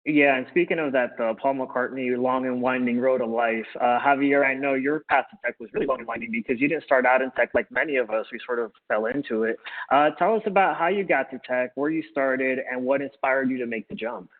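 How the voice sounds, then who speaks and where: A man's voice: mid-range voice, brisk, narrow, tinny audio. A man moderating a career panel, posing questions in a friendly, conversational way.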